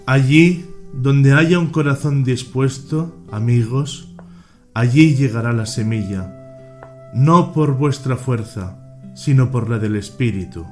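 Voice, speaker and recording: Radio play role: gentle, solemn risen master, played by a man, slow and reassuring, soft music behind. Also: mid-range voice, natural voice. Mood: gentle reassurance. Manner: solemn, measured delivery. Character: serene risen Jesus, gentle reassuring teacher to disciples. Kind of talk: youth radio play acting.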